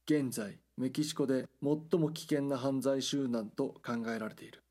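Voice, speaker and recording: A man reading scripted documentary drama narration in a measured, serious news-report manner. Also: medium pitch, darkish tone.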